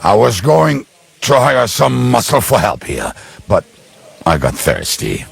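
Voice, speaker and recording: Game casting: a cheeky, boozy schemer, very raspy and creaky, rambling with wild swings. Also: male voice, on the low side, huge pitch swings, unhurried, no room sound, heavily acted. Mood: wry, rambling. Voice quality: gravelly, husky. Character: boozy middle-aged ruffian, cheeky schemer. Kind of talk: video game character acting.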